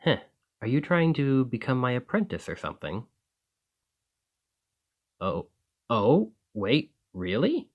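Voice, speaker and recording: A man's voice: medium pitch, creaky, heavily acted. A man playing a friendly, earnest, easily flustered alchemist in an ASMR roleplay, talking conversationally to the listener. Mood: pleasantly surprised. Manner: conversational, enthusiastic, hesitant when embarrassed.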